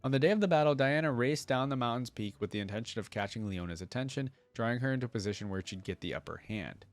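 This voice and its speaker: A man with a mid-pitched voice hosts a casual reaction video. He reads passages aloud and breaks off to think out loud in offhand asides. The sound is dry, with very little room in it. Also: animated, fast, no echo. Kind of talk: casual reaction commentary, reading wiki text aloud.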